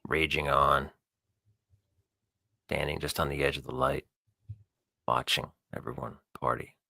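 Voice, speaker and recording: A man's voice: very deep voice, fairly even intonation, unhurried, vocal fry, bright, forceful tone, no room sound, clean studio sound. A man narrating his roleplay character's actions in moody, unscripted first person, in short atmospheric fragments. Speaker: roleplay player.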